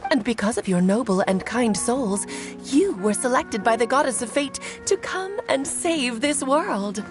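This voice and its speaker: Elegant game witch: fairly high, lively melodic delivery, playful and knowing. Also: a little slow, music in the background. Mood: gracious. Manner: teasing, poised, theatrical. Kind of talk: video game character acting.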